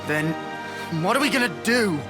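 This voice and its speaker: A man voicing a brash, impatient young fighter in a video game, blustering casually and halting as he hunts for words. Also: medium pitch, very animated. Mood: defensive. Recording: loud game music underneath.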